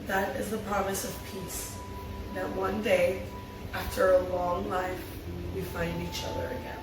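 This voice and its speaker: A woman's voice: medium pitch, level tone, unhurried, noisy background. A woman speaking as a fan, reading script lines aloud or chatting casually. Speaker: fan table reader and commentator. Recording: very echoey, far from mic, music behind.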